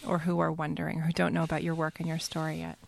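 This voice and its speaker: A woman hosting an interview show, soft-spoken and asking questions. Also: deep voice, monotone, fast, creaky. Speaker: show host.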